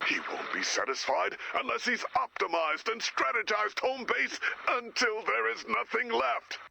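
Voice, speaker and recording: An actor playing a grave, anxious director cursed to become a werewolf, in an earnest, dramatic video game style with a gravelly voice. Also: male voice, extremely high pitch, expressive, measured pace, pushed and bright, dry recording, full character voice, rough recording. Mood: ominous, dramatic. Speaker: director of a base. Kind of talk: animated video game voice acting.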